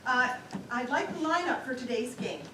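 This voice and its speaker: A woman's voice: high-pitched, normal speed, natural voice. A woman playing a polite, earnest newspaper reporter who asks insistent, confused questions in a stage comedy routine. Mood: polite, hesitant. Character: polite, increasingly confused female newspaper reporter, new to baseball. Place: small-town theater stage. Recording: distant mic in echoey theater, noisy.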